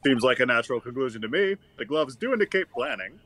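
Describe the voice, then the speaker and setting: A man playing a mild, formal judge in a fan dub of a courtroom game, speaking in a measured way. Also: medium pitch, lively intonation, a bit bright, slight background noise, lightly acted. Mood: pondering.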